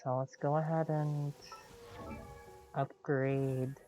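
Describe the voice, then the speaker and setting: A woman's voice: low voice, level tone, slow, mellow. A woman streaming a game with casual live commentary, playfully muttering to herself with frequent interjections. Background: game music.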